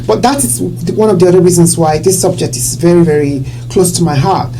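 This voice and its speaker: A woman hosting a talk show discussion, earnest and quick to agree with her guest. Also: lowish voice, rough recording. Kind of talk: casual discussion.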